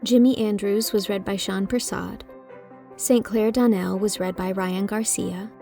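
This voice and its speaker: A woman's voice: mid-range voice, fairly even intonation, a little slow, vocal fry, dark tone. A woman narrator reading scripted closing credits for an audiobook. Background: music.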